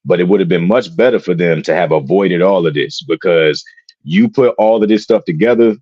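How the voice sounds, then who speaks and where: A very deep-voiced man talking on an online show. He often hesitates and restarts as he builds his points. His audio is dull and lo-fi, with no room echo at all. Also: darkish tone. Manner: halting, with many restarts and fillers. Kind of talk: casual talk show discussion. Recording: dry, dull lo-fi mic.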